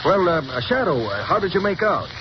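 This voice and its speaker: A stammering police commissioner. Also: male voice, a little high, very animated, brisk. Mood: blustering. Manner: hesitant, full of stammers and "uh"s. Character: blustering, scheming male police commissioner. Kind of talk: 1930s radio drama acting. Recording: muffled 1930s radio broadcast with hiss.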